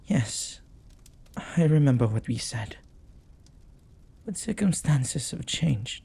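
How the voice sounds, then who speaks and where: A jealous, devoted demon lover played by a man in an intimate audio roleplay. The voice is very husky and breathy with a gravelly creak, and it moves slowly and deliberately through intense, dramatic phrasing. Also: medium pitch, sing-song, warm tone, exaggerated voice. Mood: persuasive, earnest. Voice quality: husky, breathy, often dropping to a whisper. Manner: slow, deliberate, dramatic pauses.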